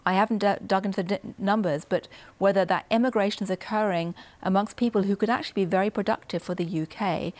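A female newspaper writer lays out economic points in long, fluent sentences. Her voice sits in the middle of the female pitch range. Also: darkish tone. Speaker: newspaper writer, panel guest. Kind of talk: economic analysis.

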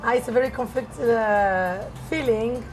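A woman's voice: a little high, measured pace, faint music behind. A woman chef, talking in a casual interview. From France.

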